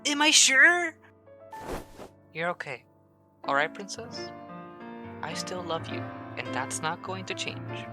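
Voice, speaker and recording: Performer as troubled young male lover, halting, tender, animated pitch swings, dramatic audio drama, background music. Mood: tender, reassuring. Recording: music bed behind the voice.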